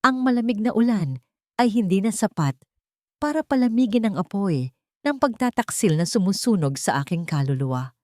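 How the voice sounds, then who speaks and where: A woman's voice: mid-range voice, expressive, moderate pace, dark tone, no echo, clean studio sound. A woman with a Filipino accent narrating a dramatic first-person romance story from a script.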